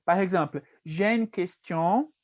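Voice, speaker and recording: A man teaching French in a relaxed conversation, patiently correcting and repeating phrases slowly. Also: sits high. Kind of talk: conversational language lesson.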